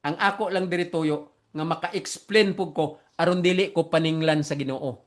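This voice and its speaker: A Filipino man whose first language is Visayan, preaching and teaching theology online in simple, halting English with earnest repetition. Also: a little high, steady tone. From Philippines.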